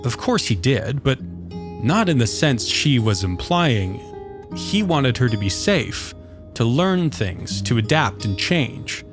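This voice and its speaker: A man's voice: medium pitch, sing-song, takes it slowly. A man reading scripted fiction as the narrator of a story. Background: soft guitar music.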